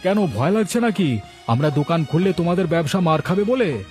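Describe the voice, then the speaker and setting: A man's voice: fairly high pitch, expressive, fairly quick, music in the background, lightly acted. A man voicing an aggrieved, envious village man in a cartoon, speaking in an indignant, boastful, emphatic way. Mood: defiant. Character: aggrieved, envious village man plotting a rival food stall. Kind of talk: animated moral story dialogue.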